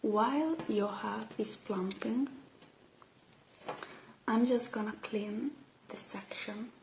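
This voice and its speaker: A woman doing a soft ASMR roleplay, murmuring and whispering step by step in a hushed, hesitant way. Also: on the high side, takes it slowly, vocal fry, reverberant, slight background noise. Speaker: ASMR roleplay creator playing a robot repair technician.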